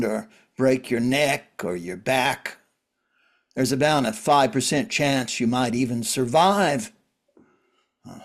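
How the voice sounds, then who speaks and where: A man playing a world-weary, wry survivor in a drama reading, talking in a halting, conversational way. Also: mid-range voice, natural voice. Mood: wry, grim.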